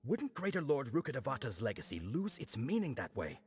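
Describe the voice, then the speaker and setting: A man's voice: mid-range voice, huge pitch swings, a little fast, faint music behind. An actor playing a timid, deferential male guard in a video game, formal, apologetic and prone to stammering. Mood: hesitant, confused.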